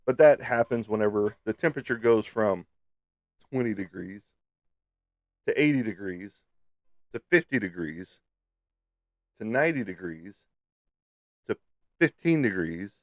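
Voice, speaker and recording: A low-pitched man hosts a casual livestream in a close, dry sound. He is slightly stuffed up and talks to viewers in a playful, teasing way. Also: lively intonation, unhurried, pauses often, dry recording. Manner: playful, teasing, peppered with sung sound effects. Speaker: livestream host.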